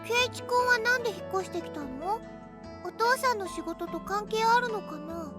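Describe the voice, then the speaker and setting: A woman playing a sweet, caring, inquisitive teenage girl in a visual novel, with a cutesy, gentle manner. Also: very high-pitched, expressive, quick pace, over music. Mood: sweet, curious. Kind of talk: Japanese visual novel voice acting.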